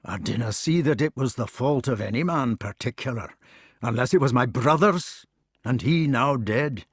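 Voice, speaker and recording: A man voicing a big, blunt knight with a gruff, low delivery. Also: expressive, no room sound, studio quality. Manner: gruff, deep, slow drawl. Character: big bluff Scottish knight, blunt and hearty.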